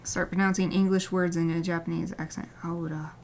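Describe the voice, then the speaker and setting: A woman artist chatting casually during a livestream as she paints, rambling and often dropping to a soft murmur, with light laughter. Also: lowish voice, level tone, brisk, a little hiss or hum. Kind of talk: casual chat while painting.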